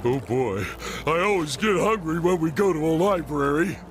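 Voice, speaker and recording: Casting: male actor for lovable dimwit cartoon starfish, earnest and naive, high, wildly varied pitch. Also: lively intonation, close to an everyday voice. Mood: hungry. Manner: slow-witted, naive, earnest. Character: dim-witted, good-natured male starfish sidekick. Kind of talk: animated cartoon game voice acting.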